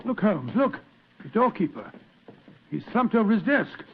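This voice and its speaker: An actor playing a loyal, excitable, blustering gentleman sidekick in an old radio drama style. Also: high-pitched, normal speed. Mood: nervous. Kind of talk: 1940s radio drama acting. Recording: tinny, noisy old radio recording.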